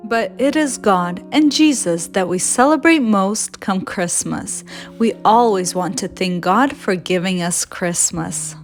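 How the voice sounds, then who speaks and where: A woman voicing a patient, loving mother bear who explains things gently in a storybook. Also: medium pitch, a little slow, faint music behind, natural voice.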